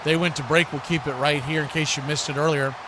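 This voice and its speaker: Over clearly noisy live-stream audio, an American man calls a football game as host and play-by-play commentator. His voice sits a little high for a man. He talks in a loose, folksy, conversational style, without a script. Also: fast. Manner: conversational, folksy, rises to excited shouts on big plays.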